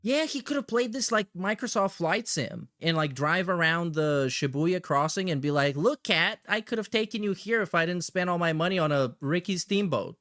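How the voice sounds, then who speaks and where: A man's voice: high voice, lively intonation, moderate pace, few pauses, a bit bright, no echo, studio quality. A man hosting a livestream with casual, slangy, sweary commentary and a sarcastic edge. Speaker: livestream host. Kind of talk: casual chat with shout-outs and commentary.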